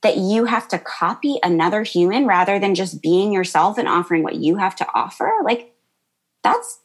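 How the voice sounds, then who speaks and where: Woman yoga teacher, high-pitched and bubbly, talks casually in an interview. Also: normal speed, husky. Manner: bubbly, laughs often, many fillers. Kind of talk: interview answers, casual chat.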